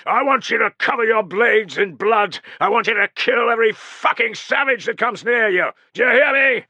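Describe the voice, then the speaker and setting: A hard-bitten Roman officer bellows orders at his ranks, as a male narrator puts him on. The voice rides high and strained in a sustained shout, crisp and forceful. It sits dry and close, with no room around it. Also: moderate pace, dry recording, exaggerated voice. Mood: fierce rallying. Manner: shouted drill commands.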